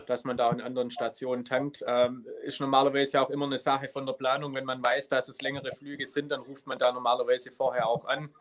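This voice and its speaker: A man's voice: medium pitch, level tone, fairly quick, hardly any pauses, fairly bright tone. A man in his thirties talking casually on a live video call as a helicopter rescue crew member. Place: office at an air rescue station.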